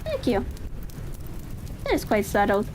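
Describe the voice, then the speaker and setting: A woman reading the part of a brisk, observant male Victorian detective in a storybook, asking deliberate, probing questions. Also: medium pitch, huge pitch swings, slight background noise. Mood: intent.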